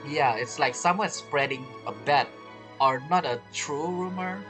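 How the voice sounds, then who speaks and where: A video game streamer giving casual commentary in halting, non-native English phrasing. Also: medium pitch. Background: game music.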